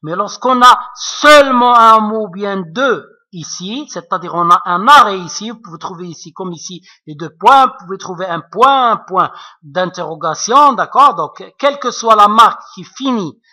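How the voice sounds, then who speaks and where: Man, Arabic accent, teacher, bright tone, patient and repetitive. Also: high-pitched, lively intonation, moderate pace. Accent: Arabic-accented French. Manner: patient, repetitive, checks with frequent asides. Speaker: French literature teacher. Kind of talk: classroom explanation.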